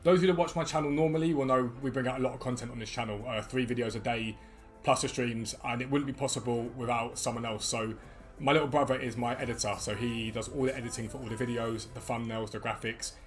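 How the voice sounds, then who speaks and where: A man in his thirties with a slightly croaky voice, chatting quickly and eagerly to viewers as a gaming YouTuber, recorded at a desk in a room at home. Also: mid-range voice, steady tone, faint music behind. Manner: rapid, chatty, enthusiastic. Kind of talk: energetic casual chat to viewers.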